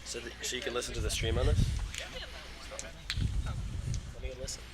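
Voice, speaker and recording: A man's voice: medium pitch, a little slow. A young man chatting casually and teasing the commentator off to the side. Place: outdoors beside the field. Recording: distant, very noisy outdoor audio.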